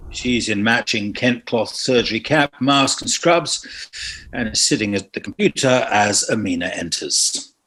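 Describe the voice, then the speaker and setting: A man's voice: fairly low pitch, expressive, measured pace, sharp tone, rough recording. A man reading out screenplay stage directions as scripted narration.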